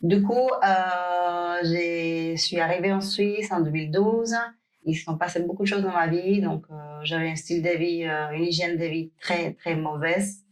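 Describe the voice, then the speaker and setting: Woman, podcast guest, slightly low pitch, hesitant. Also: fairly even intonation, moderate pace. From Cuba. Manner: hesitant, many fillers and restarts. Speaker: guest, pro bikini athlete. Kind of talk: podcast interview.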